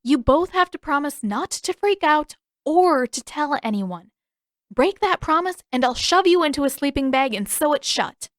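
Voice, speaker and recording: Woman playing sharp-tongued teen girl, raised pitch, bossy, sarcastic, firm, storybook character, dry sound. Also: animated, normal speed, no echo. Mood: firm bargaining.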